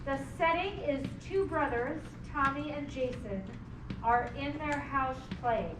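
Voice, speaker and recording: A woman's voice: high-pitched, a little slow. A woman facilitating a play reading, encouraging and often asking questions as she reads and leads discussion. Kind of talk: reading stage directions, leading group discussion. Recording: distant mic in noisy, echoey room.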